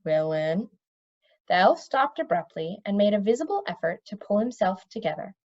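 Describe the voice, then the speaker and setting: A woman giving an expressive read-aloud narration of a children's fantasy story. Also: medium pitch, brisk, dull audio. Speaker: storybook reader.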